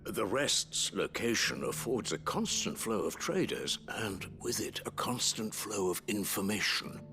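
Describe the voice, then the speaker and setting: An actor playing a refined, discreet butler in a fantasy video game, formal and deliberate with dramatic pauses. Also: male voice, on the low side, big pitch swings, bright, forceful tone, soft background music, full character voice. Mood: smooth, satisfied. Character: refined, discreet male butler, measured and theatrical.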